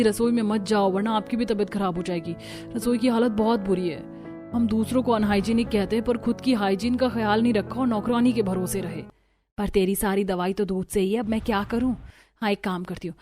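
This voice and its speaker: A woman's voice: mid-range voice, steady tone, a little fast, over music. Woman voicing Hindi cartoon characters. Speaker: voice actor for several female characters.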